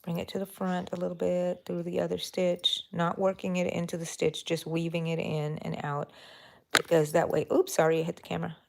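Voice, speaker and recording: A woman's voice: fairly low pitch, completely flat delivery, fairly quick, gravelly, a little mellow, a little hiss or hum. A woman from Texas hosting a casual live crochet stream from her bedroom, chatty and thinking aloud as she works and talking to her yarn. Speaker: crochet channel host. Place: bedroom at home.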